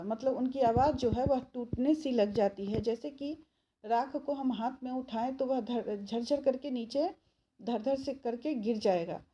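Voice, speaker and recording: A woman teacher explaining a poem line by line, repeating phrases and pausing often with fillers. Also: fairly high pitch. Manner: slow, repeats phrases, many filler pauses. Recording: dull lo-fi sound with faint noise.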